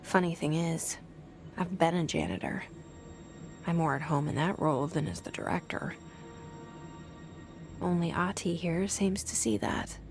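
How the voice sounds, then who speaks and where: A calm, dry-witted young director, steady and determined, with a lowish voice. She keeps her delivery understated and level. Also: a little slow, pauses often, faint music behind. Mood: wry.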